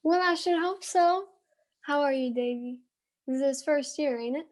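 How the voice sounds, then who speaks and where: Forthright American farming wife, acted by a woman: high voice, neighborly country talk, amateur stage style. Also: moderate pace, a little mellow, lightly acted. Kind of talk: amateur stage-play acting.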